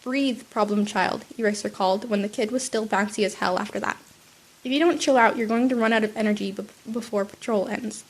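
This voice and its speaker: A sniffly woman reading the lines of a tired, blunt male hero in a dry, deadpan way. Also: mid-range voice, a little fast, lightly acted, poor audio. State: sick, slightly sniffly.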